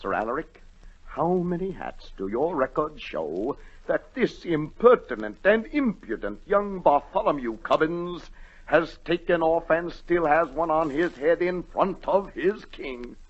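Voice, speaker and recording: An actor playing a pompous, short-tempered king with commanding, booming outbursts in 1940s radio drama style. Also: big pitch swings, measured pace. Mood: indignant. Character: imperious, short-tempered, blustering old-time storybook king. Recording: dull, muffled 1940 radio recording.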